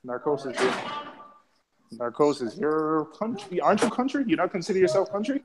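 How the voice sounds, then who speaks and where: A man's voice: on the high side, moderate pace, crowd noise, low-quality recording. A man from New York, hosting a casual live chat and rambling with lots of fillers. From New York, USA. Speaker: live stream host. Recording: clicking noise in background.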